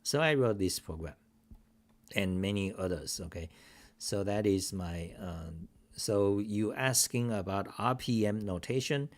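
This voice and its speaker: A man's voice: lowish voice, unhurried. A middle-aged man hosting a casual screen-share talk show at his home desk, rambling and grumbling with profane asides. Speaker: host.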